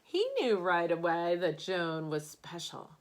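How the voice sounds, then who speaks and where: A woman reading a picture book aloud like a teacher at bedtime, with expressive emphasis on key words. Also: fairly low pitch, unhurried.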